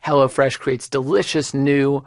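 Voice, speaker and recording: A man's voice: mid-range voice, steady tone, unhurried, no room sound. A man co-hosting a podcast, reading ads and trading dry, self-deprecating jokes. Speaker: podcast co-host. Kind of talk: casual podcast banter and ad reads. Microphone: studio microphone.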